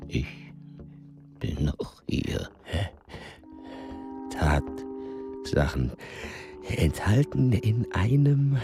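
An actor in a German radio drama playing a frail, weary old explorer-scholar, weak and breathy, speaking in halting phrases with long pauses. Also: male voice, extremely low pitch, heavily acted. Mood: halting, determined. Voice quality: weak, breathy, half-whispered. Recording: loud music under the voice. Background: music.

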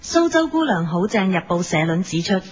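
Woman as a lowish, formal TV station announcer. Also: big pitch swings, moderate pace. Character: formal female TV station announcer in a parody broadcast. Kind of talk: scripted TV continuity announcements. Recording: muffled lo-fi audio under music.

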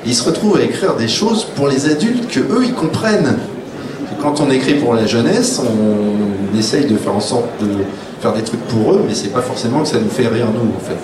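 A man's voice: medium pitch, animated, normal speed. A man moderating an informal round-table discussion. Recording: echoey, distant, noisy live room recording.

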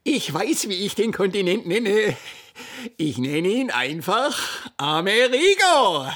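An actor playing flustered, excitable, stammering men of discovery in a comic radio drama, with a husky voice. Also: male voice, sits high, takes it slowly, no echo, clean studio sound, full character voice. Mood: excited. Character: flustered, excitable explorers and mapmakers, male.

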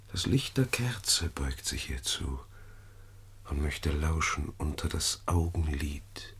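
Courtly, scheming Roman seducer, radio play, husky voice, slow florid delivery. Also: male voice, rumbling low, a bit bright, full character voice. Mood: hushed, lustful. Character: smooth-tongued, scheming Roman gentleman, flattering seducer. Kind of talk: classical German radio play verse acting.

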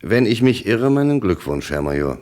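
Male, radio crime play: dogged police commissioner, very low voice, animated delivery, formal and insistent. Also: moderate pace. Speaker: actor in a radio crime drama. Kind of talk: German radio play dialogue acting.

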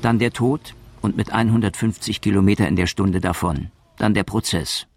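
A man's voice: on the low side, normal speed, vocal fry, breathy edge, dry recording. A man reading sober, factual scripted documentary narration.

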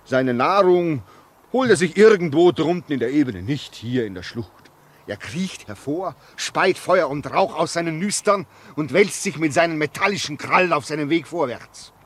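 An actor in a 1950s German radio drama playing a brooding, haunted, lonely railway signalman, halting and intense in manner. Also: a little high, animated. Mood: feverish, obsessive. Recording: noisy 1950s radio recording.

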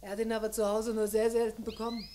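A woman's voice: on the high side, flat delivery, quick pace, dark tone, slight background noise, natural voice. An actress playing a friendly, plain-spoken female witness who talks openly in an old German crime radio play. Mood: wistful. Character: friendly, forthcoming female witness, fond of an older man.

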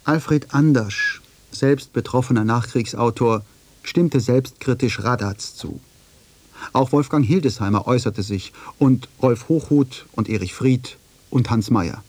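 Male essay narrator, medium-pitched, dry sound. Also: unhurried, darkish tone, dry recording. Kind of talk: scripted radio essay narration.

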